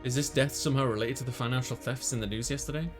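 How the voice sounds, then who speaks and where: A man with a low-key musical backing plays host on a gaming video. He reads visual novel narration aloud and slips into casual commentary of his own. His pitch sits in the middle range for a man. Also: steady tone, racing, over music. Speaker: let's player.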